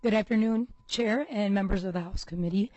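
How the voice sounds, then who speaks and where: Woman, legislator, committee speech, emphatic, passionate, mid pitch, dry close sound. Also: fairly quick, darkish tone, dry recording, lo-fi sound. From Minnesota, US. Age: young adult to middle-aged. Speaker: state representative.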